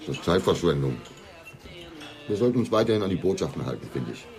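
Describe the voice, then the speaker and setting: An actor playing a blunt, impatient police detective with an offhand manner in a German radio drama. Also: male voice, low-pitched, big pitch swings, fast talker, darkish tone. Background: music.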